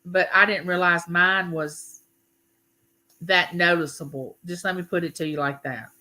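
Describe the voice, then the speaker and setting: Woman, 50s, Southern US accent, bright forceful tone, folksy chatty livestream host. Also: fairly low pitch, steady tone, rough recording. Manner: chatty, folksy, drawn-out phrases. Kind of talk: casual live chat with viewers.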